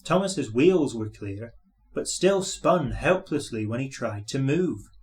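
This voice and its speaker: A man's voice: medium pitch, expressive, takes it slowly. A man reading a children's story aloud as scripted narration.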